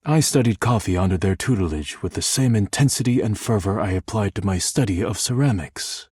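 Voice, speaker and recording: An actor voicing a reserved, melancholy man in a video game, speaking in a formal, measured, stiff way. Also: on the low side, lively intonation, a little mellow, slightly rough, no echo, studio quality. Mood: reflective, wistful. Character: reserved, formal, melancholy male workaholic devoted to porcelain. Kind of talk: video game character dialogue.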